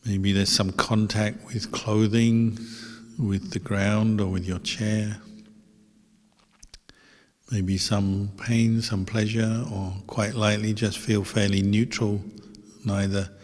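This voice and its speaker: A man who is a meditation teacher leads a guided meditation in gentle, unhurried instructions with pauses. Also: on the low side, flat delivery. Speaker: Buddhist monk, meditation teacher. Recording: long hall reverb.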